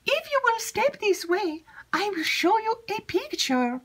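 A woman voicing an excitable, gossipy young female servant who chatters breathlessly. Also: extremely high pitch, a little slow, pitched above the performer's own voice, heavily acted. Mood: excited.

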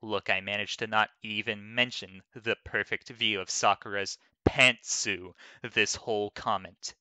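A man giving a dramatic, deliberate reading of a written comment in a theatrical manner. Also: on the low side, steady tone, sharp tone, clean tone, no echo. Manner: theatrical, deliberate, measured delivery. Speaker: dramatic reader of an online comment.

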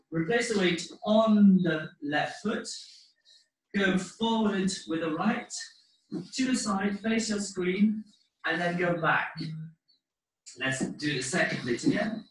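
A man with a high, bright voice leads a dance class step by step. He takes his time, encouraging people as he goes. The sound is roomy and distant, as from a microphone across a living room. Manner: encouraging, hesitant between instructions. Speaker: dance teacher. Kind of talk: step-by-step live dance instruction with counting. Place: living room at home, indoors. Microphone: laptop or webcam mic across the room.

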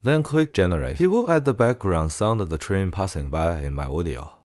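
A man presenting a software tutorial in a casual way. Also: deep voice, big pitch swings, fairly quick, dry recording, studio quality.

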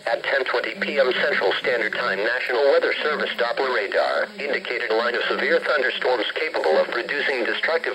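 A man's voice: medium pitch, bright, forceful tone, low-quality recording. Synthetic male weather radio announcer. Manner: synthetic, measured, formal. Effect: weather radio speaker. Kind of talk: automated emergency weather bulletin. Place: carpeted room in a home. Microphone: camera held near the radio. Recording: radio speaker picked up by handheld camera.

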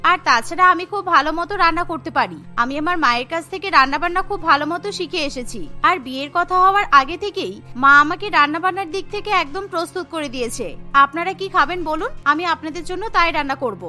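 A woman as very high, bright young cartoon bride. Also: quick pace, soft background music, heavily acted. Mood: obliging. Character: eager young bride, food-obsessed, cheerful and obliging. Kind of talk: cartoon voice acting.

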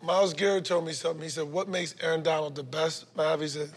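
A husky-voiced man hosting a casual group conversation. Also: a little high, flat delivery, fast talker. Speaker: show host. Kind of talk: casual round-table chat. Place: large barbershop-style studio lounge indoors.

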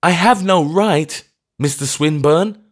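A man's voice: fairly high pitch, takes it slowly, pitched above the performer's own voice. A man doing a flustered, apologetic old clergyman. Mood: anxious pleading. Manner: stammering, repeating apologies, meek and trembling.

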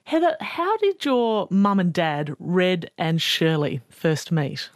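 A woman hosting a radio interview, clear and composed. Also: fairly low pitch, sing-song, slow pace, no echo, studio quality. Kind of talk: broadcast interview. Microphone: studio mic.

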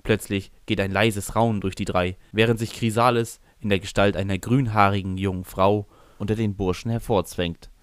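Low-voiced man narrating a story. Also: steady tone, normal speed, clear, resonant tone, no room sound, studio quality. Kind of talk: first-person scripted narration of fan fiction audio drama.

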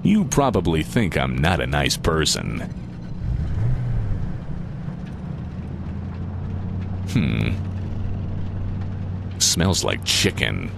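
An actor playing a cynical, sociopathic slacker antihero in a video game, with sarcastic, deadpan wisecracks in a gravelly voice. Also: male voice, takes it slowly, noisy background, full character voice. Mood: deadpan menace. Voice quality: gravelly, low. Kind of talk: video game one-liners.

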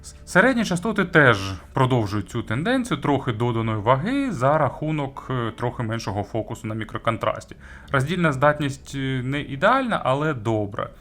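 A man giving a conversational audio gear review, speaking with hesitant pauses, stretched words and emphatic jumps on key phrases. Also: medium pitch, big pitch swings, fairly bright tone. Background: background music.

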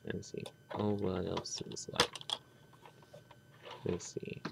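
A man with a low voice full of vocal fry talks through an unscripted hands-on toy review. His delivery is halting and rambling, with phrases that often trail off before they are finished. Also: level tone, very noisy. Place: indoors at a tabletop.

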